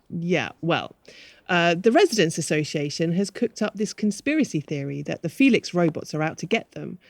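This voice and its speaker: A woman's voice: fairly low pitch, a little mellow, dry recording, close to an everyday voice. A woman playing a harried but polite manager of a retirement home in a radio play.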